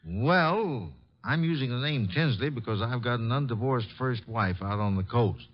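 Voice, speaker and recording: A man's voice: medium pitch, lively intonation, normal speed, close to an everyday voice. An actor playing an uneasy, defensive man with a past to hide, indignant and hesitant, in an old radio drama. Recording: dull old radio recording.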